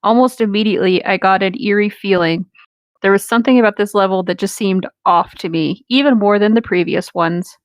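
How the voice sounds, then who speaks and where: A woman's voice: medium pitch, a little fast, dry recording. A woman bantering casually and reading aloud with friends, in a playful, chaotic manner. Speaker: co-reader on a group creepypasta reading show. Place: online voice chat.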